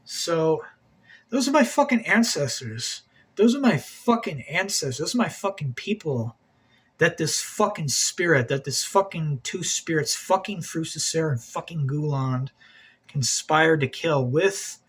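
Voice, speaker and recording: A man's voice: a little high, animated, measured pace, husky. A man hosting an occult video, talking in a rambling, agitated monologue, swearing a lot and repeating himself.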